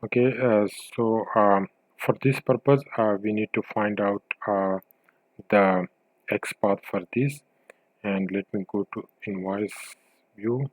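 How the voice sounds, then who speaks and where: A man narrating an informal software tutorial, thinking aloud with a hesitant delivery as he demonstrates. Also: lowish voice, fairly even intonation, slow pace, no echo, rough recording.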